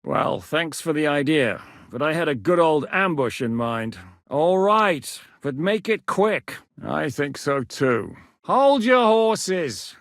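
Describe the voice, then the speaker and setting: A blunt, gruff war commander. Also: male voice, a little high, big pitch swings, slow, fairly bright tone, no room sound, studio quality, full character voice. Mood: commanding. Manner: blunt, commanding, swears freely. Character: blunt, battle-hardened male war commander. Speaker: military commander. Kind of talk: video game voice lines. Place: studio booth.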